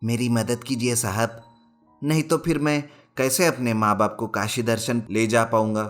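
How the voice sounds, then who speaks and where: A male narrator plays a dutiful young soldier in a cartoon story. He is respectful and earnest, at a medium pitch with music behind. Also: steady tone, moderate pace, close to an everyday voice. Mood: pleading.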